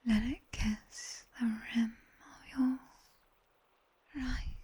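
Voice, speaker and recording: A British woman playing a sharp-tongued, teasing nightmare demon tutor in an ASMR roleplay, whispering breathily and intimately close to a binaural mic. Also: fairly high pitch, steady tone, a little hiss or hum. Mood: soothing, instructive. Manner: slow, intimate, teasing, commanding. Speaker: ASMR performer. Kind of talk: whispered ASMR roleplay, guided body scan. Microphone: close binaural ear microphone.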